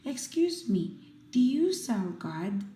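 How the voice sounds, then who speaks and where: A woman's voice: a little high, sing-song, slow pace, dark tone. A woman voicing an innocent, polite ten-year-old boy in a storybook reading. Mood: polite, hopeful. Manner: polite, earnest asking. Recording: roomy echo, music behind.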